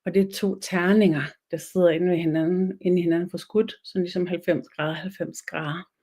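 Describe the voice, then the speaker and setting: A woman with a Danish accent, whose first language is Danish, teaching a spiritual webinar in a slow, meditative way and often searching for words. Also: lowish voice, fairly even intonation, warm tone, slightly rough.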